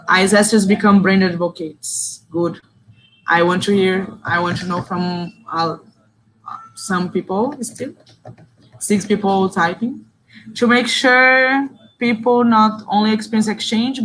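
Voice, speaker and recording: A woman's voice: medium pitch, a bit bright, a little hiss or hum, low-quality recording. A young woman from Brazil with a Brazilian Portuguese accent, leading an online training session in English, reading chat answers aloud and praising them briefly. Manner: reads chat replies aloud, short praising interjections. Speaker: session facilitator. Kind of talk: online workshop facilitation.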